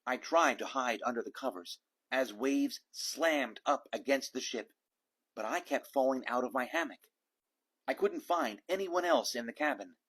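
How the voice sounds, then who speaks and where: A man reading a children's storybook aloud in an expressive narrating style. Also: medium pitch, normal speed, slightly rough. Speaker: storybook reader.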